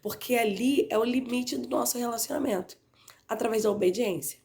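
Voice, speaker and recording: Hoarse nasal woman with a cold. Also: on the high side, a little fast, darkish tone. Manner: conversational, frequently adds "né?" tag questions. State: congested and slightly hoarse from a cold. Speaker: Bible teacher. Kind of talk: Bible class teaching.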